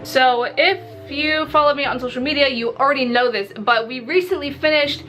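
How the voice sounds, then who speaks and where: A woman talks in a casual vlog style with a bright, fairly high voice. She is expressive and pokes fun at herself, swearing freely. Soft music plays behind her. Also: moderate pace, poor audio. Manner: animated, expressive, self-mocking, swears casually. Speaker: visual novel developer and vlogger. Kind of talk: casual video diary chat. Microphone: recording to camera. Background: background music.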